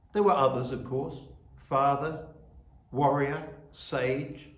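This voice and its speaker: A man giving a church sermon as officiant, speaking in measured, deliberate short phrases. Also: on the high side, a little mellow. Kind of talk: sermon with scripture reading and prayer. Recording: roomy, echoing sound.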